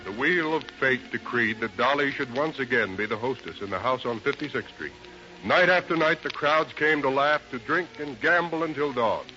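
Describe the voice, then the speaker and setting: A man narrating a 1930s radio melodrama in a solemn, portentous, measured style. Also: mid-range voice, expressive, bright, forceful tone, soft background music. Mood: solemn, dramatic. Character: solemn, dramatic male storyteller of a radio melodrama. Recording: dull 1930s radio recording.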